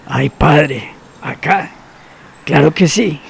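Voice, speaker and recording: An actor playing a secretive, cynical older man in a radio drama, who talks slowly and knowingly and drops to near whispers. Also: fairly high pitch, gravelly, slight background noise, exaggerated voice. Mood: sly.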